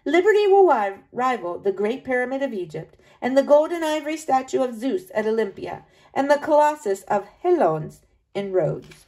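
A woman's voice: high voice, sing-song, moderate pace, a little mellow, rough recording. A woman voicing a proud, driven French male sculptor in a read-aloud storybook, in an earnest first-person reading.

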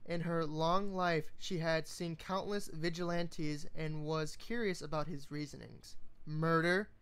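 An amateur reader narrating a story in a halting way, often stumbling and repeating words. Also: mid-range voice, steady tone, clear, resonant tone. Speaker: fan fiction reader.

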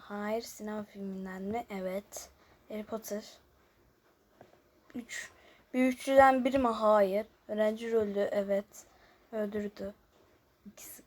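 A woman host casually chatting through a guessing game, shifting between muttered asides and chattier remarks to viewers. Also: medium pitch. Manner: alternates muttered asides, often near-whispered, with louder chatty remarks to viewers. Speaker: host of a gaming video. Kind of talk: casual chat while playing a guessing game. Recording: noticeable background noise with clicking.

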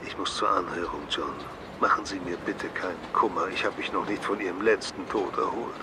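Low-voiced, weary police boss. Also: male voice, fairly quick, background noise. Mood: weary, dry. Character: weary, dry male police superior. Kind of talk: German radio drama acting.

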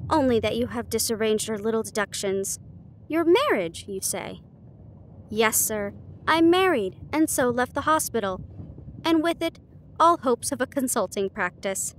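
A woman reading classic fiction aloud in a slightly halting manner, as in an intimate audio roleplay. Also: fairly high pitch, slight background noise. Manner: halting, stumbles over difficult words, giggly. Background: ambient sound effects.

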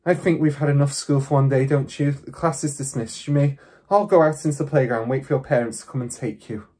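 A man voicing a calm, reasonable female schoolteacher in a storybook, speaking in a measured, polite and steady way. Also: medium pitch, close to an everyday voice. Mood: gentle relief. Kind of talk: storybook character reading.

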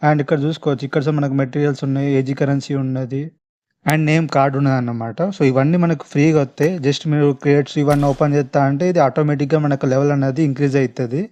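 Fast-talking man with Telangana Telugu accent. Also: medium pitch, steady tone, warm tone. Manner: informal, chatty, addresses viewers directly. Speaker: gaming video host. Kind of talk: casual gameplay commentary. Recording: faint background noise, clicking sounds.

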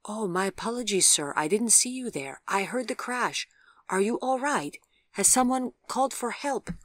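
A courteous gentleman passer-by from an audiobook, acted out by a woman. He is concerned yet brisk and matter-of-fact, speaking up clearly in well-bred, polite phrases. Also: a little high, expressive, dry recording, clean studio sound, voice pushed up. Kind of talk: audiobook character voice.

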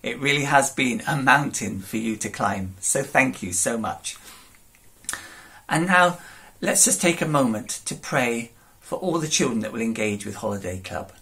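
A man in his forties with a mid-pitched voice leads his congregation in prayer. He speaks warmly and steadily, with the measured manner of a pastor. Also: animated, gravelly. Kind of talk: thanks and spoken prayer.

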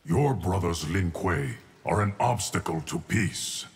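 An actor playing a calm, all-knowing mystical guardian in a video game, gravelly, measured and portentous. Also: male voice, sits low, full character voice. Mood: solemn. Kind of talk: video game character acting.

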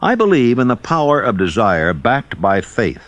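A man reading an audiobook as scripted narration, with deliberate, emphatic phrasing. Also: mid-range voice, sing-song, fairly bright tone, muffled recording.

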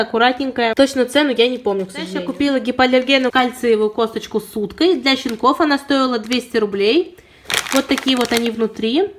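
Fast-chatting woman with a sore throat. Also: sits high. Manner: chatty, detailed, apologetic asides. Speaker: pet vlogger. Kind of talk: product haul review. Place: indoors at home.

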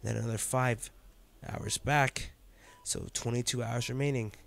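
A man's voice: mid-range voice, steady tone, a little slow, creaky, a bit bright. Man acting as an intense, protective secret agent. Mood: tense, recounting. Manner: emotional, emphatic, addressing the listener directly. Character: male secret field agent, intense, protective, scolding his partner. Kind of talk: dramatic audio roleplay monologue.

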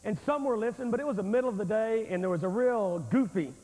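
A man in his forties preaching a revival sermon on a large church stage with a handheld microphone, telling a story in an animated, confrontational way. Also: high-pitched, fast. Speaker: evangelist preacher. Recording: long hall reverb, old VHS tape.

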